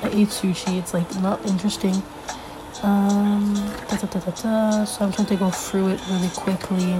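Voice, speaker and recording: A young woman hosting a casual solo podcast, a little congested from being sick, rambling with lots of fillers as she thinks out loud. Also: mid-range voice, flat delivery, normal speed, over music, rough recording. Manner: rambling, filler-heavy, thinking aloud while flipping pages. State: congested from a recent illness. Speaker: podcast host.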